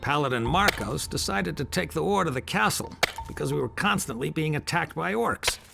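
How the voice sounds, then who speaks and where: Gravelly old-hand miner. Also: male voice, on the high side, animated, moderate pace, over music. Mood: gruff. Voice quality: gravelly, world-weary. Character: weathered, stubborn veteran male miner. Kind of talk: game character dialogue.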